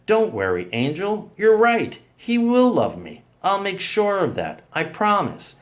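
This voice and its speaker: Casting: male reader as steadfast, protective boyfriend in a romance audiobook, earnest, higher-pitched, very expressive. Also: measured pace, heavily acted. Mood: reassuring. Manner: earnest, reassuring.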